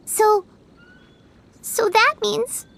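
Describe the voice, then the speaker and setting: A woman voicing a tiny, excitable, childlike fairy companion in a video game, with dramatic, exclamatory delivery. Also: very high voice, extremely slow, creaky, background noise, heavily acted. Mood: puzzled. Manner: exclamatory, dramatic, refers to self in third person. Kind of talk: cartoonish video game voice acting. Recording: game music behind the voice.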